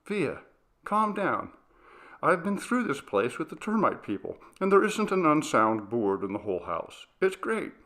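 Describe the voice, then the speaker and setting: A man's voice: on the high side, very animated, measured pace, fairly bright tone, pitched above the performer's own voice, full character voice. Man acting easygoing husband, voice lifted. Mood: reassuring. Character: easygoing, reassuring husband and father.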